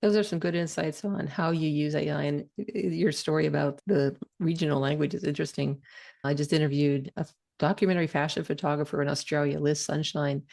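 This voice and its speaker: A woman host of an interview with a gravelly edge to her voice. She asks friendly, curious questions and reacts to her guest's stories. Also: low voice, fairly even intonation, a little fast, darkish tone.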